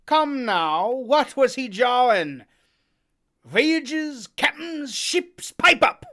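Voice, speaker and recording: A reader voicing a cunning, hearty one-legged old pirate innkeeper who talks loudly in sailor's slang. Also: medium pitch, very animated, slow, creaky, voice pushed up, exaggerated voice. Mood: blustering. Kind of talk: audiobook character voice.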